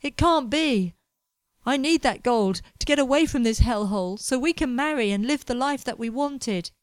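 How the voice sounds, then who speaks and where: A woman voicing a pompous, melodramatic young man chasing gold, complaining loudly about everything around him. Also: medium pitch, normal speed, dry recording, studio quality, close to an everyday voice. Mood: desperate. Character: anxious, pompous young male fortune hunter.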